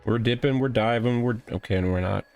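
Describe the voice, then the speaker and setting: A man's voice: medium pitch, fairly quick, gravelly, darkish tone. A man giving casual, wry gaming commentary, muttering exclamations as he plays. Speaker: let's play host. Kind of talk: casual gaming commentary, reading game text aloud. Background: game music.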